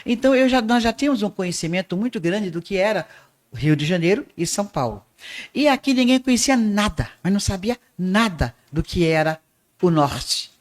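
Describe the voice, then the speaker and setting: An older woman from Northeast Brazil with a softened Northeastern accent, telling her life story as an interview guest in an unhurried way. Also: medium pitch, lively intonation. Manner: unhurried storyteller with small restarts. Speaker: guest, art teacher and radio presenter.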